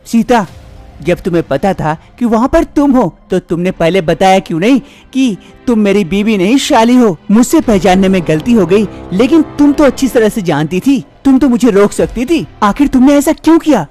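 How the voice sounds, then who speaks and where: A woman voicing a young husband in a cartoon story, hurried and flustered as he talks to his wife and sister-in-law. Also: mid-range voice, animated, mellow, soft background music, natural voice.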